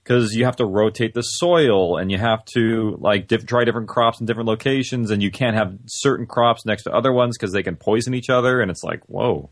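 A man's voice: fairly low pitch, brisk, few pauses, dry recording, clean studio sound. A man speaking as an interview guest, explaining things casually and conversationally, often restarting his phrases. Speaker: interview guest, audio-drama writer and producer.

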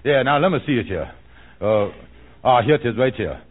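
A man's voice: medium pitch, lively intonation, moderate pace, lightly acted. An actor playing a scheming small-time con man in an old American radio comedy, blustering and hemming and hawing. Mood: urgent, wheedling. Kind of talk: 1940s-50s American radio comedy acting. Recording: tinny, band-limited old radio recording.

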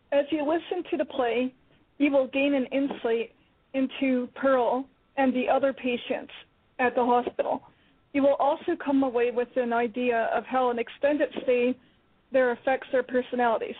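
A woman playwright reading the foreword to her play in a measured, earnest way. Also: high voice, husky. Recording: tinny phone-line radio audio.

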